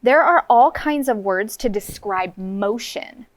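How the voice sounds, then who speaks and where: An American woman with a slightly high voice reads and teaches for kindergartners. She explains things simply and clearly for small children. The sound is dry, with little room echo. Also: expressive, slow pace. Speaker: kindergarten teacher, TV classroom co-host. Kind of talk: read-aloud lesson for young children.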